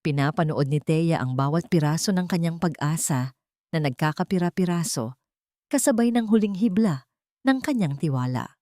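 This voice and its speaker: Woman reading a sad drama story, lowish voice, dry close sound. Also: lively intonation, moderate pace, warm tone, no room sound. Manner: somber, emotive storytelling. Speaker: narrator. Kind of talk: dramatic audiobook narration.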